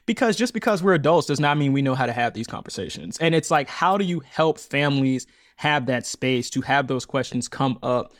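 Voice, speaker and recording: A man in his thirties, a guest on an interview podcast, talking earnestly and reflectively, often laughing and jumping up in pitch when amused. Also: expressive, a little fast, few pauses, no echo, studio quality. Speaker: podcast guest, audio storyteller.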